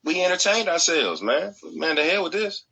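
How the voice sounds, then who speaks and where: American male co-host, forceful and raspy, blunt slang-heavy casual podcast banter. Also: on the high side, normal speed, poor audio. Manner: animated agreement, blunt, repeats for emphasis.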